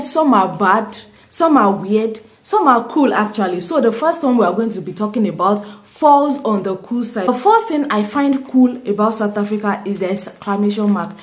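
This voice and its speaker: A young Nigerian woman with a fairly high voice talks straight to her viewers in a loose, rambling way. She often restarts her sentences and breaks into laughter. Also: a little mellow. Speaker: vlogger. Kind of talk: casual vlog chat to camera.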